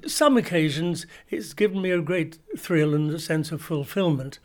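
A man's voice: on the high side, normal speed. A man, an actor, talking reflectively in an interview, considered and articulate.

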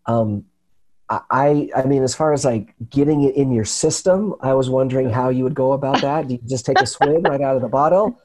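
A man hosts a relaxed health segment over a video call. His voice is mellow and dark, with a slight rasp from a recent cold. He talks in an earnest, halting way, restarting his sentences often. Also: mid-range voice, low-quality recording. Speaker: host. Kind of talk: casual health talk show chat. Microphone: remote video call.

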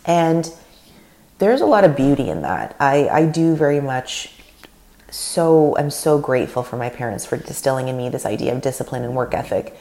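Brief: woman podcast host, thirties, very deep voice, gravelly, earnest and rambling. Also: normal speed. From Canada. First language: Russian. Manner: earnest, animated, rambling with restarts. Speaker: podcast host and leadership coach. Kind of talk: solo podcast talk.

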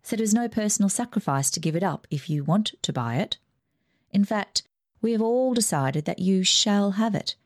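A woman reading aloud, voicing a gentle middle-aged father in a measured, hesitant way, close to her own voice. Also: mid-range voice, expressive, no echo, pitched above the performer's own voice, close to an everyday voice.

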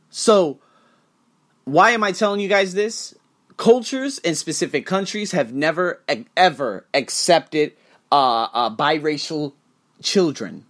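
A man hosting a podcast, delivering an emphatic, profane monologue to camera with sudden rises in pitch and frequent restarts. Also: fairly bright tone. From United States. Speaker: podcast host.

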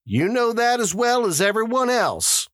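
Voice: mid-range voice, very animated, unhurried, a bit bright, dry recording, clean studio sound, pitched above the performer's own voice. A narrator voicing a male town official who gives orderly instructions in a formal, patient, civil way. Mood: brisk, civil.

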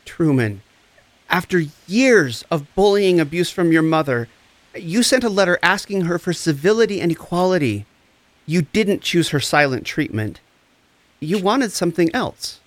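A man's voice: sits high, lively intonation, normal speed, dry recording. A man acting the part of a compassionate recovery coach in an audio drama, tender, soothing and earnest. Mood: tender, consoling. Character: compassionate, gentle, encouraging recovery coach and friend.

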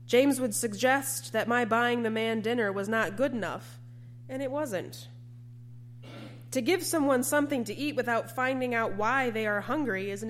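A woman preacher delivering a sermon in a measured, emphatic way, with deliberate repetition. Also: on the high side, a little hiss or hum.

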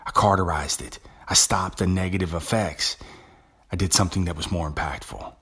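Male podcast host, deep husky voice, conversational and self-talking, stumbles and restarts. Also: fairly even intonation, normal speed, fairly bright tone. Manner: casual, conversational, with frequent restarts. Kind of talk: motivational monologue.

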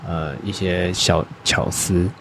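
A man answering interview questions as a guest designer, speaking hesitantly with many pauses and restarts. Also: deep voice, little pitch movement, a bit bright, a little hiss or hum.

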